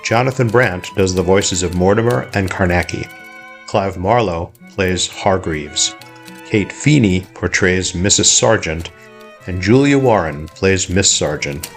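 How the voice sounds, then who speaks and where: A man reading scripted closing credits as a podcast announcer. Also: sits low.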